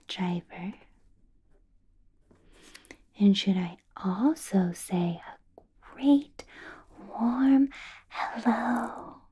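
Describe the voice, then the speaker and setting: Ancient vampire boss, female, acted by a woman: soft mellow whisper, unhurried and deliberate, falsely pleasant with menace underneath, intimate ASMR roleplay. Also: on the high side, heavily acted. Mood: coolly knowing. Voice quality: breathy whisper. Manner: slow, deliberate, teasing, with lingering pauses.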